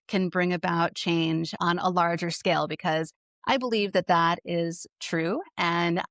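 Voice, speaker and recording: A woman's voice: fairly low pitch, fairly even intonation, unhurried, fairly bright tone, no room sound. A woman hosting a podcast, reading a scripted introduction and then chatting with enthusiasm as an interviewer. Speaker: podcast host.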